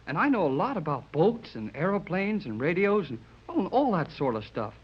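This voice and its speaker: Eager high-voiced American teenage boy. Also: very animated, a little fast, breathy edge. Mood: eager, pleading. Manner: boyish, earnest, gushing slang, stammers shyly. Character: eager, polite, bashful teenage American boy, starry-eyed. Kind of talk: 1930s radio serial acting. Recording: muffled vintage 1930s radio recording.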